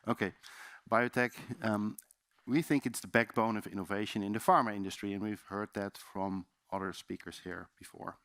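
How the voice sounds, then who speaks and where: A man's voice: lowish voice, steady tone, normal speed, a bit bright, no echo. A man with a Dutch accent giving a loose, chatty seminar presentation in a conference room, with many fillers and restarts. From Netherlands. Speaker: conference speaker.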